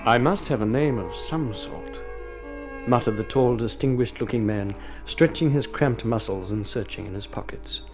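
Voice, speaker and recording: A man narrating a scripted story in golden age radio drama style, with measured dramatic phrasing. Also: mid-range voice. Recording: vintage radio drama recording. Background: prominent music.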